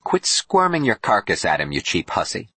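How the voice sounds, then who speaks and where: A man's voice: fairly low pitch, wildly swooping pitch, moderate pace, sharp tone, slightly rough, dry recording, pitched above the performer's own voice, exaggerated voice. A man voicing a jealous woman's seething private thoughts in an audiobook, biting and contemptuous. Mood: seething contempt. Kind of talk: audiobook character voice.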